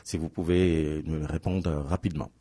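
A man's voice: extremely low pitch, level tone, normal speed, mellow, no echo. A man hosting a language radio interview, asking long questions with frequent fillers. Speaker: radio host.

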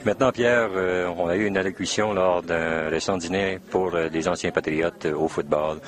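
Very low-voiced French Canadian male interviewer. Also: steady tone, normal speed. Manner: hesitant, roundabout phrasing. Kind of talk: interview questions.

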